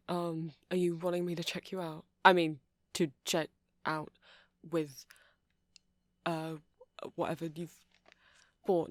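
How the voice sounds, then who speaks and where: A man's voice: fairly high pitch, vocal fry, dry recording, clean studio sound. A man playing an awkward, rambling vampire music shop owner who stammers and hesitates in a casual ASMR roleplay. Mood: flustered, apologetic. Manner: hesitant, stammering, apologetic, nervously chuckling.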